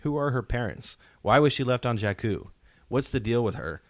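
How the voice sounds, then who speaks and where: A man's voice: mid-range voice, expressive, moderate pace, no echo. A man hosting a podcast in casual pop-culture discussion, explaining his points and posing rhetorical questions. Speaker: podcast host. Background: soundtrack music playing faintly.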